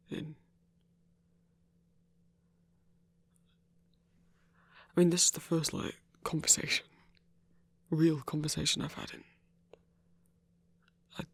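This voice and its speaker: Werewolf hunter voiced by a man, ASMR roleplay: shy, earnest, socially awkward. Soft breathy voice, creaky, stammering delivery. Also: on the high side, dry recording, full character voice. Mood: bashful, unsure. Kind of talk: intimate ASMR audio roleplay.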